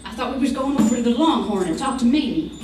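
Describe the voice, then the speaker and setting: An actor playing a crotchety, teasing old frontier doctor in an old-time radio western, with a drawn-out, needling delivery. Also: male voice, very high-pitched, expressive, a little mellow. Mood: gleeful, needling. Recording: echoey, distant, hissy old radio recording.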